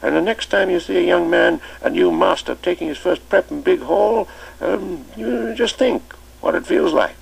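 A man's voice: sits high, normal speed, vocal fry, breathy edge, voice pushed up, full character voice, rough recording. A man reading an audiobook, voicing a kindly elderly male schoolmaster who hesitates with many ums and uhs. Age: older.

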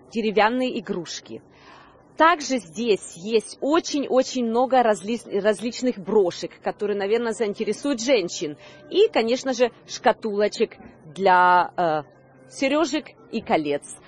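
A woman in her thirties presenting a news report on location outdoors into a handheld microphone, with slightly hesitant delivery. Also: on the high side. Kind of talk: on-location reporting, semi-scripted presenting to camera. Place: outdoor Christmas market.

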